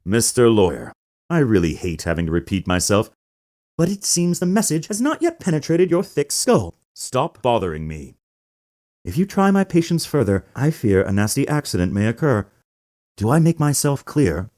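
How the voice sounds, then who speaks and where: A man's voice: mid-range voice, sing-song, normal speed, a little mellow, lightly acted. A man playing a pompous, menacing corporate blackmailer in a voiced game drama, haughty and condescending in manner. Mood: condescending menace.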